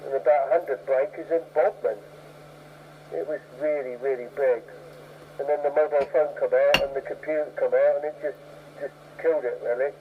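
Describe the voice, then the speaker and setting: A man's voice: mid-range voice, steady tone, a little fast. A man chatting casually over CB radio, talkative and given to repeating phrases for emphasis. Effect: CB radio transmission. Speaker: CB radio operator. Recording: tinny narrowband CB radio, clear static noise.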